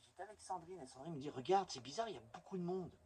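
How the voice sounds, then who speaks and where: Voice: medium pitch, very fast, a crowd in the background. A YouTube host casually showing off a collection in a chatty, rambling, enthusiastic fan's way. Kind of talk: casual collection show-and-tell.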